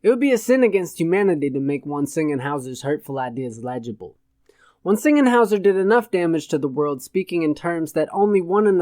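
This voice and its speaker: A man's voice: a little high, a little mellow, no echo. A man narrating satirical fiction as a scripted audiobook reading.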